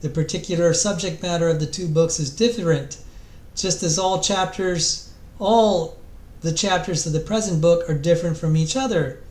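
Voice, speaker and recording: A man giving a mock-dramatic reading of a book introduction, theatrical and solemn, pausing grandly and sometimes repeating words. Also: fairly high pitch, a little mellow, echoey room, close to an everyday voice.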